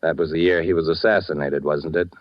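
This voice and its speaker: An actor playing a wry, matter-of-fact amateur investigator in a 1940s radio suspense drama. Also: male voice, a bass voice, fairly even intonation, a little fast, no echo. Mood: probing. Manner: dry, wisecracking, matter-of-fact. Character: curious, wry, unadventurous man turned amateur investigator. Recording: dull 1940s radio recording with music.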